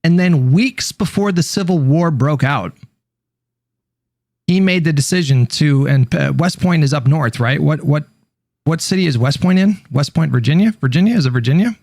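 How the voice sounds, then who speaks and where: Informal man, slightly high and dry-sounding. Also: moderate pace, a little mellow, dry recording. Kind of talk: casual storytelling, partly reading an article aloud.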